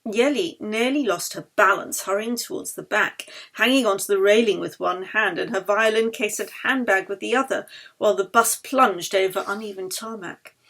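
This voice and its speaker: A woman reading her own novel as expressive audiobook narration. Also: mid-range voice, moderate pace.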